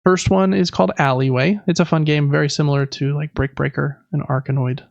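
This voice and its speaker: Chatty man with a creaky, higher-pitched voice. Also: fairly even intonation, fairly quick, dry recording, highs cut off. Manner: chatty, informal, reading through lists with asides. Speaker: podcast host. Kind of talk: casual gaming podcast news rundown.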